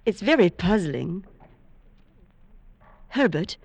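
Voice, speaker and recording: An actress playing a sweet, playful newlywed wife in 1940s radio style, coy and expressive. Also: female voice, on the low side, slow, creaky, no room sound, heavily acted. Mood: puzzled.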